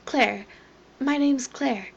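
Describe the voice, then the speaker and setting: A woman's voice: sits high, drawn-out words, vocal fry, husky, exaggerated voice. A woman playing a cold, ominous figure who is formal, composed and politely apologetic in a horror audio drama, on a slightly dull microphone.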